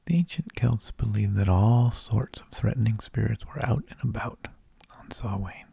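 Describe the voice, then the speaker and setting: A man narrating in a soft, breathy ASMR whisper, with slow, deliberate phrasing, close to the microphone. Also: on the low side, dry recording. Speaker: ASMR host.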